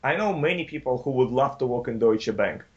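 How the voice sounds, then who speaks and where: A man's voice: mid-range voice, animated, fairly quick. Man interviewer with dull lo-fi sound. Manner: brief, encouraging prompts. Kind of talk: casual interview questions.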